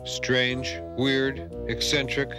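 An actor playing a wisecracking, laid-back cartoon ghost hunter, sardonic and glib. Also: male voice, mid-range voice, level tone, very slow. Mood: wry storytelling. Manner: sardonic, glib, self-important. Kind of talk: cartoon voice acting. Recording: music behind the voice.

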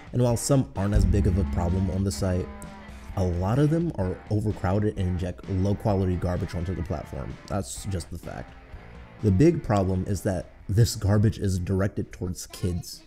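Very dark-voiced man narrating a video essay. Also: sits low.

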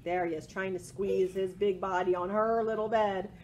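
A woman in her forties reading a picture book aloud to children in an expressive way, with asides about the pictures. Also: mid-range voice, normal speed. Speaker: library storytime presenter. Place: small indoor room with bookshelves. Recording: home recording with faint background noise.

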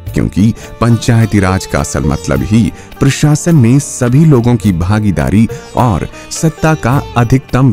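A man reading scripted documentary narration in a formal, measured, explanatory manner. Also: fairly low pitch, dark tone. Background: background music.

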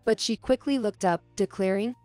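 Woman reading out a story, calm, super dry close mic. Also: medium pitch, normal speed, no echo, faint music behind. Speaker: narrator. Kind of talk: scripted story narration.

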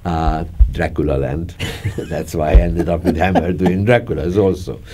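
An older man with a Hungarian accent recalls his work with a chuckle, his phrases halting and looping back. His voice sits very low and sounds warm and mellow. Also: steady tone. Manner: chatty, laughing, gesturing, halting. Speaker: film director. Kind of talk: reminiscing interview. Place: dark studio, seated in armchair.

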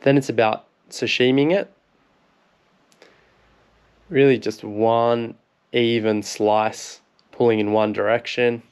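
A man in his thirties with an Australian accent, a fishing vlogger calmly explaining how to prepare fish in a voice-over. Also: mid-range voice, slow, pauses often, smooth. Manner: relaxed, plain explaining. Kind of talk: instructional cooking voice-over.

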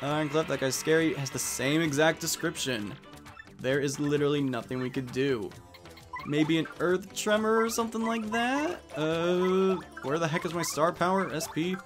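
Higher-voiced young man doing game commentary. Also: normal speed, over music. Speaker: let's play host.

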